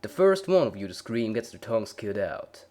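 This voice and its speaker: A big male farm pony, voiced by a man, talks. He stays placid and pleasant with a quiet menace underneath, as in a dark storybook tale. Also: fairly low pitch, very animated, normal speed. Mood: calm menace. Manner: placid, folksy, pleasant while threatening.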